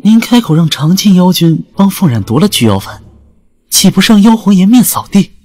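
A man's voice: high-pitched, sing-song, brisk, a little mellow. A man playing a worried, loyal retainer in an audio drama, cautioning his lord.

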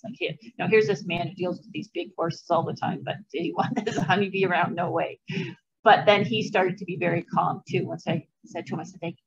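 Older woman presenter, gravelly voice, chatty and anecdotal, dull video-call audio. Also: fairly low pitch. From Canada. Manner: chatty, anecdotal, with frequent fillers and asides. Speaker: beekeeper giving a presentation. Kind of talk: casual informal talk over slides. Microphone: video call webcam.

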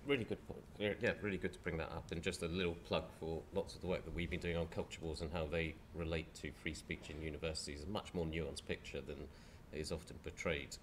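Man chairing a panel, very low croaky voice with a cold, faint hiss behind, hesitant restarts. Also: steady tone, fast talker, few pauses. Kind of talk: panel discussion. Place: lecture hall with audience.

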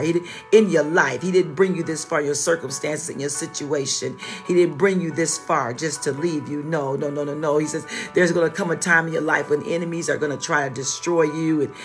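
A woman pastor delivers a morning devotional in a fervent, repetitive preaching style. She stumbles into restarts and peppers every line with "my God" before landing emphatic declarations. Faint music plays behind her. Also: deep voice. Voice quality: fervent, repetitive exhortation with frequent "my God" interjections.

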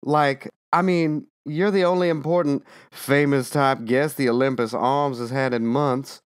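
A woman voicing a pushy, cigar-smoking middle-aged male hotel owner who talks bluntly and loudly, from an audiobook. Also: very deep voice, fairly even intonation, unhurried, clear, resonant tone, dry recording, clean studio sound, pitched below the performer's own voice.

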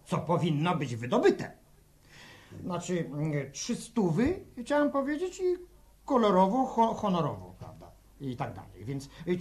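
An actor playing a fawning, fussy travelling portrait salesman in a 1960s radio drama, with wheedling, hesitant patter. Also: sits high. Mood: pompous.

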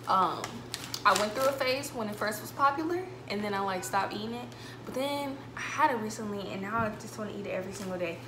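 A young woman talks casually at a medium pitch, like a student vlogger showing things to the camera. Her delivery is informal and conversational. The small kitchen rings with echo around her, and there is clear background noise. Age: young adult. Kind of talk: casual vlog chat, showing groceries. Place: small home kitchen, indoors. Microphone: camera a couple of metres away. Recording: echoey room with background noise.

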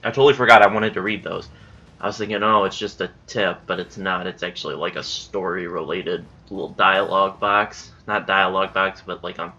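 A man's voice: low voice, steady tone, brisk, bright, forceful tone, dull audio. A man hosting casual gameplay commentary, sounding stuffy in the nose after just waking up, chatty and self-deprecating. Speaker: gaming channel host. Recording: game sound and gunfire behind.

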